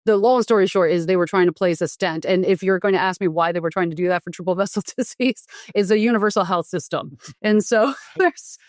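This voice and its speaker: Frank, fairly low-voiced woman doctor. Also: brisk, hardly any pauses, no echo, studio quality. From Taiwan. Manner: frank, explanatory, with frequent fillers and restarts. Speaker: doctor and guest. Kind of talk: conversational interview.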